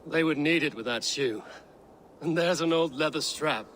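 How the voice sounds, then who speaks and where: A blunt, honor-bound Viking warrior voiced by a man, with a bright, fairly high voice. It is a video game cutscene with faint noise behind. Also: moderate pace, close to an everyday voice. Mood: stern. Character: stern male Viking warrior, proud and blunt.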